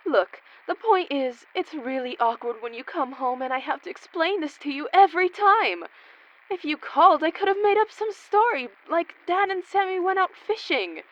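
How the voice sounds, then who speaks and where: A woman's voice: very high-pitched, lively intonation, moderate pace, lo-fi sound. An actress playing a weary, patronizing woman who patiently humors a relative in a comic radio play. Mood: weary, matter-of-fact. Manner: matter-of-fact, patronizing. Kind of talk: comedic radio play acting.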